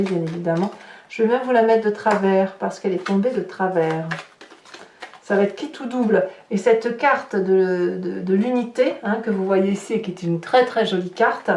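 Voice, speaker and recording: A woman's voice: medium pitch, a little mellow, a little hiss or hum. A woman giving an unscripted tarot reading to viewers, repeating words for emphasis as she searches for the next one. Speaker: tarot reader, host of horoscope guidance videos. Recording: roomy echo, distant microphone.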